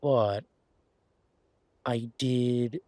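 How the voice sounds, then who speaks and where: A man playing an affectionate, eager boyfriend in an audio roleplay, talking in a casual, halting, coaxing way with a husky voice. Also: mid-range voice, steady tone, darkish tone, no room sound. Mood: eager, persuasive. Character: affectionate, eager boyfriend pitching grand ideas to his werewolf girlfriend.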